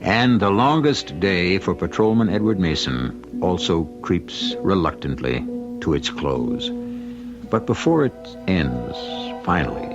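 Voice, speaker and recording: A man performing as a grave, wry storyteller of a radio mystery, narrating with measured, dramatic pauses. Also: deep voice. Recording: muffled vintage radio recording, music behind.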